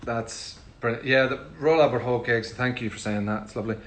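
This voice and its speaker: A middle-aged man with a Northern Irish accent, casually answering fan questions in a relaxed, chatty way with frequent ums. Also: medium pitch, brisk. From Northern Ireland. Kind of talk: casual livestream Q&A.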